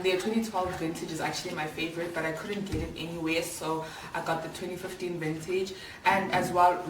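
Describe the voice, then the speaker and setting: A South African woman of twenty-five answering viewer questions in a casual, candid vlog chat, full of slang and fillers. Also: low-pitched. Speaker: vlogger. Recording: echoey room, voice a little far from the mic, clear background noise.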